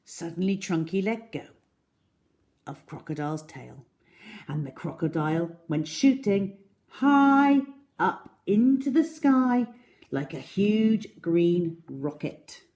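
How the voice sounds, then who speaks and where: A woman telling a children's story in an expressive, deliberate way with dramatic emphasis. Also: on the low side.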